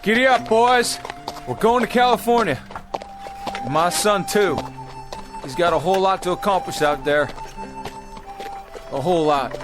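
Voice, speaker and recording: Fervent pioneer farmer, higher-pitched voice. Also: male voice, a little slow, long pauses. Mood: eager, proud. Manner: fervent, rustic speech. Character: hopeful, visionary pioneer farmer leading a wagon train west. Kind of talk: radio drama acting. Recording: music behind the voice.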